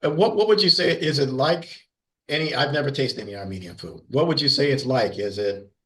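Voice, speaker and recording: A man's voice: medium pitch, fairly quick, a bit bright. A man co-hosting a casual interview, eager and jokey. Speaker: co-host.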